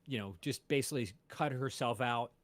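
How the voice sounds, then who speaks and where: A man's voice: mid-range voice, fairly quick, a bit bright, dry recording. A man, a podcast host, chatting casually about music with many fillers and restarts.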